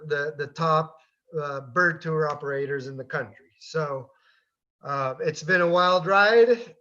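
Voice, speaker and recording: A man in his forties from Colombia, presenting slides about his bird tour business, talking haltingly with many fillers and repeated words. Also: on the high side, fairly bright tone. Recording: dull lo-fi sound.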